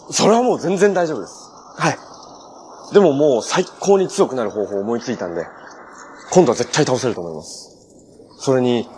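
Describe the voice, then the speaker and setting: A man's voice: medium pitch, a little mellow, natural voice. An actor playing a cheerful, earnest young male hero in a TV drama, talking politely and upbeat. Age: young adult. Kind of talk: live-action TV drama acting. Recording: TV soundtrack with faint noise.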